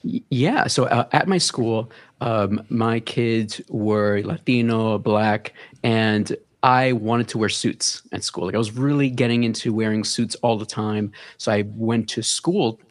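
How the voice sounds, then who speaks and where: A man. He talks in a loose, easygoing way as a podcast guest and pauses on plenty of fillers as he tells his story. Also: lowish voice. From the Bronx, New York. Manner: relaxed, storytelling with many filler words. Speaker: guest, content creator. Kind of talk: casual podcast interview.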